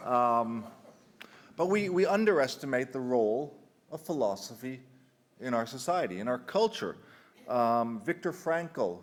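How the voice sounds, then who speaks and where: Man giving a conference talk. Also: mid-range voice. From United Kingdom. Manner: conversational, frequent restarts and ums, dry humor. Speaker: conference speaker. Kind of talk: conference presentation. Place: conference hall.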